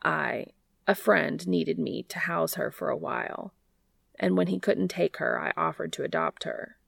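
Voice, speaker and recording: A woman's voice: sits low, unhurried, creaky, breathy edge, no echo, full character voice. A woman narrator playing a shy, reclusive man in an audiobook, speaking in a hesitant, dry, understated manner. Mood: awkward deadpan. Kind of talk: audiobook character dialogue.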